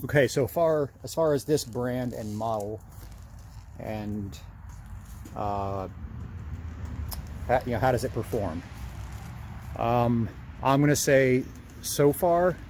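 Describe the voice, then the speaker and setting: A man's voice: mid-range voice, a little mellow, a little hiss or hum. A man, plain-spoken and conversational, talking through a casual hands-on product review.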